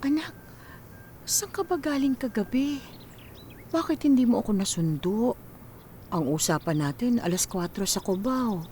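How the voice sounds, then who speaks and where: A woman's voice: on the high side, animated, unhurried, long pauses, a little mellow. A woman playing a loving, weary middle-aged mother in a Filipino radio drama, with a tender, coaxing, sighing manner. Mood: gently reproachful.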